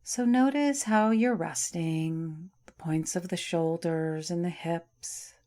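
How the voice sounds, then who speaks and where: A woman teaching yoga nidra, guiding a relaxation meditation in a soft, soothing way with long pauses. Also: lowish voice.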